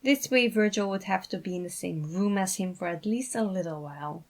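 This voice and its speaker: Woman narrating a story, medium pitch. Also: fairly quick. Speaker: fan fiction author and reader.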